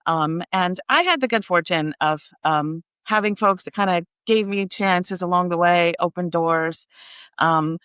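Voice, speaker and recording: A middle-aged woman with an American accent speaks as an interview guest. Her voice is on the low side and notably clean and smooth, recorded dry and close. She answers conversationally, in a measured and thoughtful manner. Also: a bit bright, no room sound. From raised in Kansas, United States. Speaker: interview guest, nonprofit executive.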